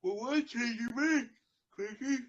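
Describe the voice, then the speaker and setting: A man voicing a young male cartoon dragon, a worried friend who speaks haltingly and earnestly. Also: extremely high pitch, highs cut off, pitched above the performer's own voice. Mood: worried, hesitant.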